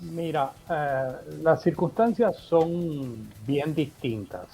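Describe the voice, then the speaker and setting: A man who grew up in Portugal, a political consultant giving measured, explanatory answers in an interview. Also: fairly high pitch, animated, mellow. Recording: muffled remote line with faint music.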